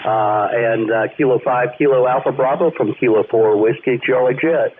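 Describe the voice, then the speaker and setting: A man's voice: medium pitch, normal speed. Male ham radio caller heard through a thin, phone-like band with light noise; polite, easygoing, rambling conversational delivery. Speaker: amateur radio operator calling in. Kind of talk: casual on-air radio conversation. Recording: narrow-band noisy amateur radio link.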